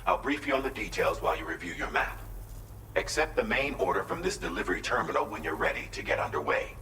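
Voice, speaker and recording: A man playing a brisk, businesslike mission controller briefing an operative in a video game. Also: low voice, lively intonation, echoey room, noisy background. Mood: brisk, formal.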